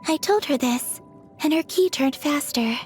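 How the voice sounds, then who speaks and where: A woman voicing a gentle, sincere, dreamy young woman in scripted game lines, soft and unhurried with innocent curiosity. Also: high voice, soft background music. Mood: tender, curious.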